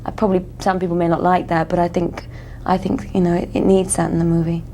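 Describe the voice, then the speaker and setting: An English woman with a mellow, somewhat low voice answers interview questions earnestly. She leans on "you know" as she thinks. Faint hiss sits behind her. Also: little pitch movement, quick pace. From England. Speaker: actress being interviewed.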